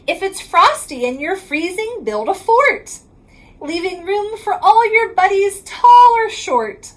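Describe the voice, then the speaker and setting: A woman's voice: very high voice, animated, poor audio. A woman reading a rhyming picture book aloud to children in a sing-song way, drawing out the ends of lines. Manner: sing-song rhyming delivery, drawn-out line endings.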